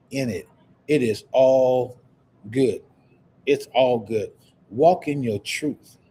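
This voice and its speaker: A man's voice: medium pitch, dark tone. Male livestream host with a lisp and stutter, mid-range voice, upbeat motivational chat, light room noise behind. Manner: repetitive, exclamatory, reading viewer comments aloud. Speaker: host of a live online talk show. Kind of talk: casual motivational livestream talk.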